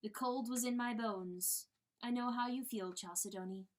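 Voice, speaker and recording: Woman voicing a gentle rooster lord. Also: on the high side, normal speed, close to an everyday voice. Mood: tender. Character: kind, gentle rooster lord, tender and reassuring.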